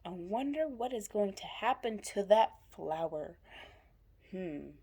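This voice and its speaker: A woman's voice: medium pitch, lively intonation, slow, darkish tone, a little hiss or hum. A woman commenting on picture book scenes for young children, pointing things out in simple short phrases. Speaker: story reader commenting on pictures.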